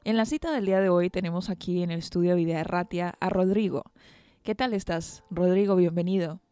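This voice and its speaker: A woman with a slightly low, mellow voice hosts a radio program. She presents and interviews in a relaxed, soft-toned voice, with faint music in the recording. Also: a little fast, clean tone, no echo. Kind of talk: interview with scripted introduction.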